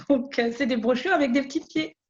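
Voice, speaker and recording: A woman's voice: high voice, a little fast, lo-fi sound. A woman giving an interview about her business in an earnest way, often repeating words as she searches for them. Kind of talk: casual conversational testimony.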